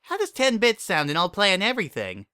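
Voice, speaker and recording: A hyperactive young mare from a cartoon world, played by a man, pitched way up high. She gushes and chatters with zealous party-planner energy, in bright, bouncy swoops. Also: huge pitch swings, a little fast, clean tone, no room sound, clean studio sound, full character voice. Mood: eager.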